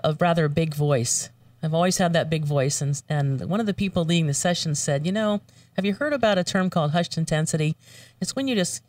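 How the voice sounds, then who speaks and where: A woman's voice: low voice, darkish tone, no echo, recorded from a distance. Earnest woman guest, heard a bit off-mic. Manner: earnest, halting, with fillers and restarts. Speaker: guest, voiceover artist. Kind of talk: spoken prayer and casual chat.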